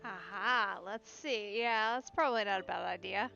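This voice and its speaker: A woman's voice: medium pitch, normal speed, vocal fry, sharp tone, highs cut off. A woman giving casual, musing let's play commentary on a game stream. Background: game music.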